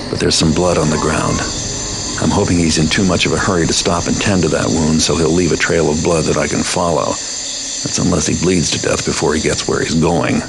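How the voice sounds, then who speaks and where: An actor playing a cynical, world-weary master thief in a video game, narrating in a deadpan, brooding noir style. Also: male voice, extremely low pitch, background noise. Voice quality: gravelly.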